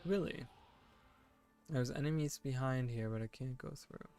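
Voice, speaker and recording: A man's voice: mid-range voice, a little slow, a little mellow. A man in his late twenties chatting casually while streaming a game, rambling and thinking aloud, full of "like" and "you know". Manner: thinking aloud, self-correcting. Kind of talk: casual chat while gaming.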